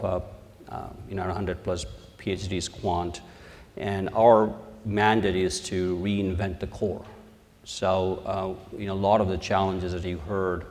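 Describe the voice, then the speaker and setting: Deep-voiced man with an Indian accent. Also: darkish tone. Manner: halting, with many "you know" fillers. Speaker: panel speaker, research executive. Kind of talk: conference panel talk. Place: conference hall. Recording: large hall reverb.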